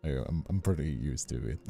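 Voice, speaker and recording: A man in his twenties who sounds older than his years, chatting casually on a livestream in a halting, rephrasing way. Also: a bass voice, animated, vocal fry, dark tone, faint music behind. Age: 20s. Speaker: ASMR streamer and voice actor.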